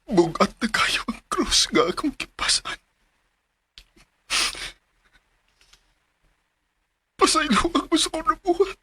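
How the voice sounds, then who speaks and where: A man playing a guilt-ridden young man in a radio drama, confessing in halting, tearful phrases. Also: very high-pitched, bright, forceful tone, slight background noise, heavily acted. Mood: remorseful. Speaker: penitent.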